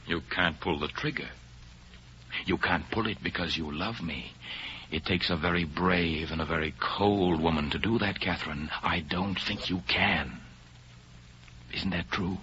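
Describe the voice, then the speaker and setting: A muffled old radio recording of a suave, fatalistic spy. His low voice is theatrical and measured, intimate and gently mocking, with a forceful bright edge. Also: slight background noise. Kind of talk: 1930s radio drama acting.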